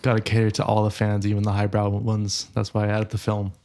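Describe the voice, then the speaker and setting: Young man, Californian American accent, lower-pitched voice, casual podcast co-host, conversational. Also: monotone, fairly quick, a little mellow. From Los Angeles, United States. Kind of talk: casual chat.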